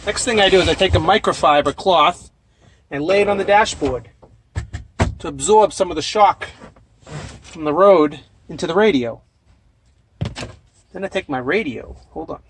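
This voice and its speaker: A man, a trucker hosting a casual, plain-spoken step-by-step demonstration. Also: high voice. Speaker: trucker and vlog host. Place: inside a truck cab. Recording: noisy truck cab with clicks.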